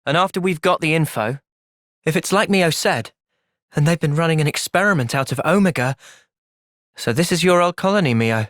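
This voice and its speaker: An actor playing a thoughtful, gentle but resolute young male soldier in a video game, with a British accent and a sincere, measured delivery. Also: on the high side, no echo, studio quality, lightly acted. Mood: calm, considering. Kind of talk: video game cutscene voice acting.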